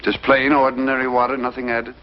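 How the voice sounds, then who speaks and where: An actor playing an inquisitive, authoritative government secretary in an old television drama, speaking formally and deliberately. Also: male voice, medium pitch, flat delivery, close to an everyday voice. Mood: businesslike, probing. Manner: measured, formal, deliberate questioning. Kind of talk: mid-century American television drama acting. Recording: dull, noisy vintage TV soundtrack.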